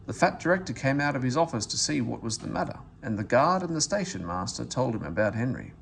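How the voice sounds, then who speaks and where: A man reads a children's story aloud in an expressive narrator's style. His mid-range voice moves up and down in an animated way. The recording carries a faint background noise. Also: medium pitch, quick pace, vocal fry. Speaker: story reader.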